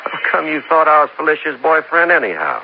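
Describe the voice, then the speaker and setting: A flustered young man being grilled in an old radio drama. His fairly high voice swings widely as he protests indignantly. The recording is muffled, with faint noise. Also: big pitch swings, fast talker. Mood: defensive. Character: defensive, flustered young man questioned by police. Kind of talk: 1950s radio drama acting.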